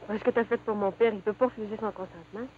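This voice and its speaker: Casting: woman playing tender but firm young rural sweetheart, Quebec French accent, mid pitch, period TV drama, hissy phone-thin sound. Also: fairly even intonation, fast, lightly acted. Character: Donalda, devoted, principled young rural sweetheart. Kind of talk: 1950s television period drama acting. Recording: tinny, noisy 1950s television soundtrack.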